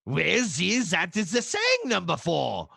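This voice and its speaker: A woman voicing a boisterous, theatrical puppet who presents a children's show with a gravelly, exclamatory delivery. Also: lowish voice, wildly swooping pitch, measured pace, sharp tone, no echo, studio quality, full character voice. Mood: exuberant, teacherly. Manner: exclamatory, drops in foreign words, chuckles.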